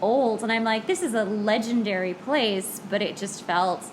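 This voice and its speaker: A woman's voice: mid-range voice, moderate pace. A woman with a Californian accent chatting casually and playfully as a podcast host. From California, United States. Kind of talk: casual chat with a guest. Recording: noticeable background noise.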